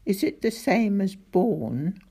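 A woman's voice: mid-range voice, sing-song, slow pace, dark tone, husky, full character voice. A woman voicing a curious, polite young girl who asks careful questions. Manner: inquisitive, cautious.

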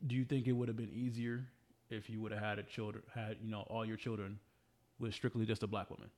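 A man's voice: fairly low pitch, little pitch movement, fast talker, darkish tone. A man in his thirties co-hosting a casual podcast, talking bluntly with teasing, slangy remarks. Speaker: podcast co-host. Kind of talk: casual chat.

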